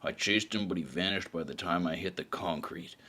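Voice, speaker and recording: An actor playing a weary, bitter hardboiled private detective, narrating in a deadpan, brooding, sardonic way. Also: male voice, low-pitched, brisk. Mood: sardonic, irritated. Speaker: narrator and lead of a noir audio drama. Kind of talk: hardboiled noir narration and dialogue.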